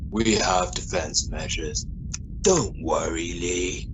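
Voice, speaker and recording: A man playing a calm, matter-of-fact submarine pilot in an amateur sci-fi radio play. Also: low voice, slow pace. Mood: calm, firm. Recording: noisy amateur recording.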